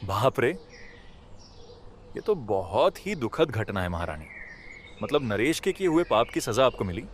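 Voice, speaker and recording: A man voicing a kind, humble gentleman in a cartoon fable. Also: medium pitch, sing-song, measured pace, a little hiss or hum. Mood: sympathetic. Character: kind, humble village gentleman who helps animals.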